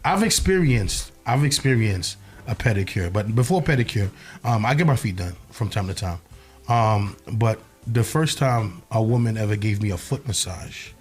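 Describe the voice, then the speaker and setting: A man's voice: lowish voice, animated, normal speed, faint music behind. A man from Haiti co-hosting a casual podcast panel, talking animatedly and often asking "you know what I'm saying". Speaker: podcast co-host.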